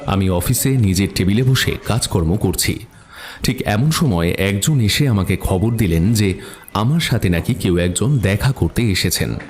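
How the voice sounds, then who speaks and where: A man's voice: lowish voice, expressive, measured pace, a little mellow, slight background noise. An actor playing a composed office worker narrating his detective story in first person.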